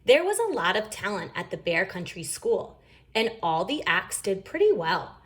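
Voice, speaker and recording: A woman reading a children's story aloud in an expressive, emphatic storytelling manner. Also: mid-range voice, moderate pace. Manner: animated, emphatic. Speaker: storybook reader.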